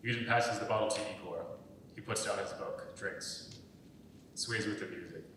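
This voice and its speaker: A man narrates stage directions for a dramatic reading. His voice is on the low side and comes from a distance, with heavy echo and faint noise in a large hall. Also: normal speed, a bit bright. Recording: echoey hall, far from mic, faint noise.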